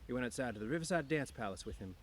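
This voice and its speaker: A man's voice: medium pitch, lively intonation, racing, slight background noise, pitched above the performer's own voice, lightly acted. A man voicing a young, dreamy, discontented small-town wife with rambling, slangy speech in an audiobook. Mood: boastful, wistful. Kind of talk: audiobook character voice.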